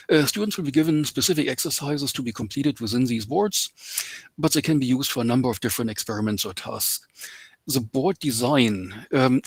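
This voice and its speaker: Husky, hesitant man lecturing on a video call. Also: mid-range voice, no echo. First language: not English. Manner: hesitant, many ums and uhs. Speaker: guest lecturer. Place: home in Canada.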